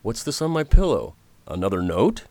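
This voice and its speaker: An actor playing a calm, resolute undercover agent in a radio drama, speaking with formal period diction. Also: male voice, fairly low pitch, moderate pace, no echo. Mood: groggy. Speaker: narrator and lead. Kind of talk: radio drama narration and acting.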